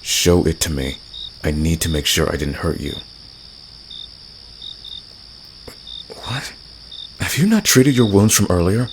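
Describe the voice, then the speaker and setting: A man playing a flirtatious, teasing fantasy villain with a secretly caring side, speaking intimately to the listener in an ASMR roleplay with a gravelly, seductive delivery. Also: low-pitched, big pitch swings, normal speed. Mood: concerned, chiding. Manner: teasing, seductive, soft-spoken. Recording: noisy, with nighttime crickets and insects. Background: cricket and insect night ambience.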